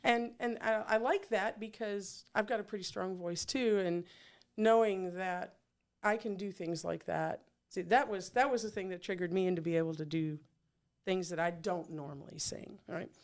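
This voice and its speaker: A woman's voice: medium pitch, moderate pace. A middle-aged woman hosting an interview, chatting casually and rambling with many fillers and restarts. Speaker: podcast host.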